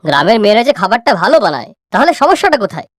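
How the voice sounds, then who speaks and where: A woman's voice: mid-range voice, big pitch swings, rapid-fire, no echo, clean studio sound. A woman voicing a firm, fair-minded middle-aged father in a cartoon. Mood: reproving. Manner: reproving, insistent.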